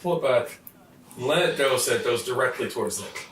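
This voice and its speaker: A man's voice: mid-range voice, expressive, fairly quick, a bit bright. A man playing a tabletop game, talking casually and hesitantly as he thinks aloud. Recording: echoey, distant mic, faint noise.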